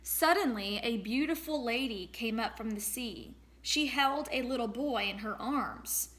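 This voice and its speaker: Bright, expressive woman reading a storybook. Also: on the high side, unhurried. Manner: expressive, acts out sound words. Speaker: read-aloud teacher. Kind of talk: storybook read-aloud with teaching asides.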